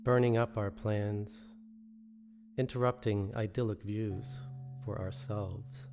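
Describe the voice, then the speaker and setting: A man reciting his own poetry in a measured, deliberate way, pausing between phrases. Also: fairly low pitch, steady tone, over music. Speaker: poet reading own work. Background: musical score.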